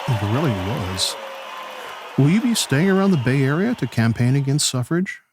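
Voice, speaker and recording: An actor playing a polite, chatty old-time radio host, with an animated announcer's way of talking. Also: male voice, medium pitch, normal speed, background noise, close to an everyday voice. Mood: chipper. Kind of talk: radio play interview patter.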